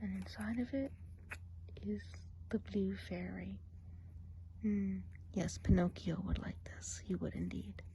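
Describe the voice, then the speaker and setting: Casting: woman doing a gossipy forest witch, hushed and chatty, middle pitch, soft ASMR delivery. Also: steady tone, takes it slowly, vocal fry, a little hiss or hum, rough recording. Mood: gentle. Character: gossipy woodland witch woman running an eye exam. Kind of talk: ASMR role play.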